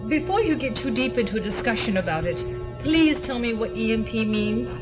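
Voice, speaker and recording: A woman's voice: a little high, expressive, a little fast, close to an everyday voice. A woman playing a curious, plain-spoken companion in a science fiction audio drama, with an informal, questioning manner. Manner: inquisitive, informal. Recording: lo-fi audio under music.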